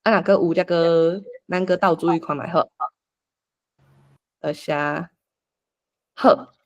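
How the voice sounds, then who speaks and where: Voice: medium pitch, steady tone, slow. A person with a Taiwanese accent explaining and organising a group script reading, thinking aloud in an informal way as the facilitator. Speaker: workshop facilitator.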